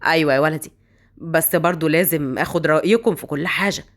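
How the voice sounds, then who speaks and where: A woman's voice: sits low, fast, pitched below the performer's own voice, close to an everyday voice. A woman voicing a stern, domineering old village grandfather. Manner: gruff, commanding.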